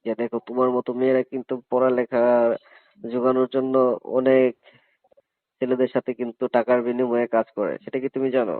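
A man's voice: mid-range voice, level tone, fairly quick, long pauses, no echo, close to an everyday voice. A man playing a coercive college hostel superintendent in a phone-call drama, insistent and persuasive as he pressures a student.

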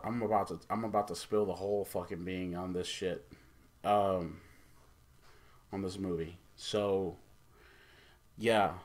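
A middle-aged man hosting a casual, off-the-cuff review, speaking haltingly and stumbling over his words. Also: lowish voice, slight background noise. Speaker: host of a movie review show.

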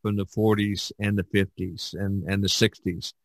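A man hosting a casual podcast discussion as an artist, speaking haltingly with repeated false starts. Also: on the low side, level tone, no echo.

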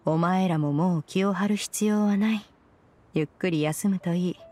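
An actress playing a caring, authoritative immortal woman in a game, calm and husky, with lofty archaic speech. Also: fairly low pitch, natural voice. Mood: calm, kind. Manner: calm, measured, old-fashioned lofty speech. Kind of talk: Japanese game voice acting. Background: faint music.

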